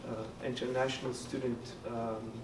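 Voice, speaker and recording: A man's voice: mid-range voice, flat delivery, moderate pace. Francophone man, earnest debate answers, roomy sound, distant mic, noisy background. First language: French. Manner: earnest, hesitant with many fillers. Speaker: student election candidate. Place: lounge hall during a debate.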